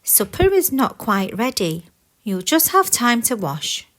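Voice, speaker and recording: A woman voicing a gentle young princess in a children's fairy tale, polite and earnest in manner. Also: on the high side, sing-song, measured pace. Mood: kindly. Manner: sweet, earnest, pleading.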